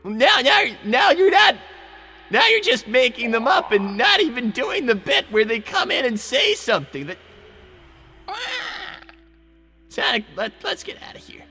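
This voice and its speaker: A man voicing an anxious, excitable young fox sidekick, flustered and stammering over repeated words, improvising in character on a livestream. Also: very high-pitched, normal speed, faint music behind, full character voice.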